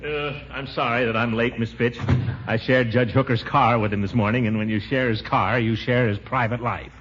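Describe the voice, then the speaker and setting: A man's voice: medium pitch, fairly even intonation, normal speed, fairly bright tone, faint music behind, close to an everyday voice. An actor playing a blustery, self-important middle-aged American man in 1940s radio comedy, pompous and easily exasperated. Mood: affable, pleased. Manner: pompous, with chuckles and sputtering outbursts. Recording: muffled, noisy 1940s radio recording.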